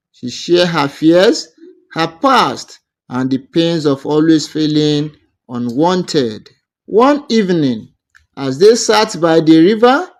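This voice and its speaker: A man narrating a scripted romantic folktale as a storyteller, in deliberate, measured short phrases. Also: a little high, a little mellow.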